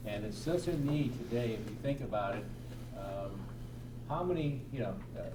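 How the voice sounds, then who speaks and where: A man with a loud voice answering on a conference panel, explaining his work emphatically. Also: medium pitch. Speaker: workplace chaplaincy panelist. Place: conference breakout room. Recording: echoey room, distant mic, background noise.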